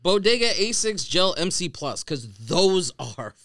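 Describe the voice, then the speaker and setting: A man's voice: sits high, big pitch swings, a little slow, sharp tone. A man co-hosting a casual podcast, playfully arguing with the others in loose banter. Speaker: podcast co-host. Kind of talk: casual group banter about sneakers.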